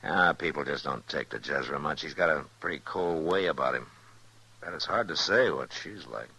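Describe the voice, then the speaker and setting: An actor playing a steady, world-weary, laconic frontier lawman in an old radio western. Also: very deep voice, moderate pace, creaky, slightly rough, exaggerated voice. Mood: easygoing, dry. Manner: laconic, understated. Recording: noisy vintage radio recording.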